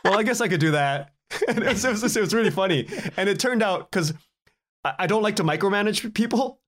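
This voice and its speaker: A man speaking as a guest in a casual podcast interview, telling anecdotes in an animated way and acting out bits of dialogue. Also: high voice, a little fast. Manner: animated storytelling, acts out quoted exchanges, chuckles often. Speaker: guest, audio drama writer and director.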